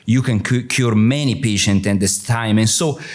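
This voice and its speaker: A middle-aged man with an Italian accent, giving a conference panel talk as a surgeon, halting with many filler sounds. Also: on the low side. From Italy.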